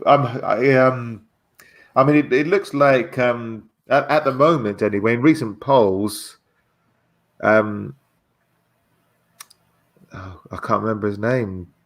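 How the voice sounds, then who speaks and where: British bloke guesting on a casual chat, talks really slow n hesitant, repeats words, average pitch. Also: male voice, steady tone.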